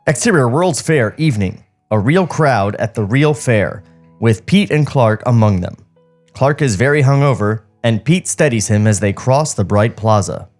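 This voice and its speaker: A man's voice: mid-range voice, animated, moderate pace, darkish tone. A man co-hosting a comedy podcast, reading a script aloud and bantering in a casual, mocking way. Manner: mocking, sardonic. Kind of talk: casual comic banter and script table read. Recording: music playing behind the voice. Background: background music.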